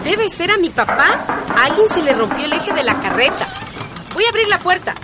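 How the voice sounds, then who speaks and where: A woman's voice: extremely high pitch, very animated, a little fast, very noisy. An Ecuadorian woman playing an eager, affectionate young bride-to-be in a radio drama. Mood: hurried.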